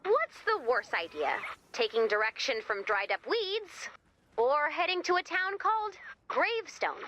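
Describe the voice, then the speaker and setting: A young American woman guiding the player through a video game. Her voice is high and bright, swinging widely in pitch. She comes across as quirky, chatty and theatrical, with a wisecracking streak. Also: sing-song, a little slow, exaggerated voice. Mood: playful encouragement. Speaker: mission guide character addressing the player commander. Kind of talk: animated video game character dialogue.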